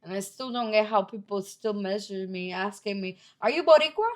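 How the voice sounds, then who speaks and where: A woman from Puerto Rico with a Puerto Rican accent, talking as a guest in a casual interview, animated and straightforward, dropping in Spanish phrases. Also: mid-range voice, moderate pace.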